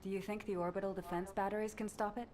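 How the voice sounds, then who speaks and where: A woman's voice: medium pitch, little pitch movement, quick pace, a little mellow, distant mic, natural voice. An actress voicing a female soldier on watch in a game. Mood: alert.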